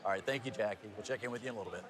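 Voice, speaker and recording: A man's voice: lowish voice, racing, a crowd in the background. A man anchoring and narrating upbeat local TV news features in a folksy, playful way, fond of puns.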